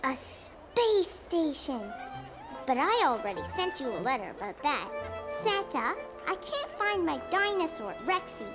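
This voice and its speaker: Curious preschool cartoon boy, very high, lively melody, earnest and unhurried. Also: music in the background. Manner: earnest, simple childlike delivery. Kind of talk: children's cartoon voice acting.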